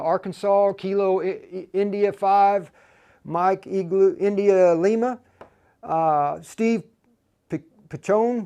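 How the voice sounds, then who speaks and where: Male tutorial host, higher-pitched, casual and halting, talks straight to the audience. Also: a little mellow, clear, resonant tone. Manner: halting, repeats and restarts phrases; informal, addresses viewers directly as "you guys". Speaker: livestream host and instructor. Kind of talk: live tutorial, casual talk to viewers.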